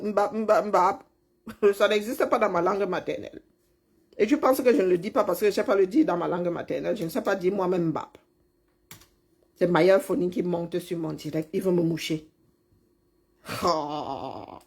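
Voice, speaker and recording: A woman's voice: medium pitch, darkish tone, husky. A middle-aged woman, mockingly scolding a politician in a heated live-stream rant. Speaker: commentator replying to a politician.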